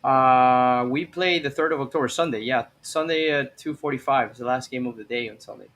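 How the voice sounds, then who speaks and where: A man's voice: mid-range voice, fairly quick, a bit bright. A man co-hosting a casual fan chat in an easygoing, chatty way.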